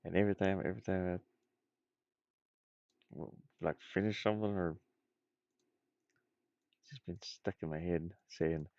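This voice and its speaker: Low gravelly guy rambling while he draws game stuff, mumbly n goofy, muffled dry mic. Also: steady tone, normal speed, pauses often, darkish tone, no room sound. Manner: muttering, rambling, playful asides. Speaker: indie game designer streaming. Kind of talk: casual chat while drawing game art, thinking aloud.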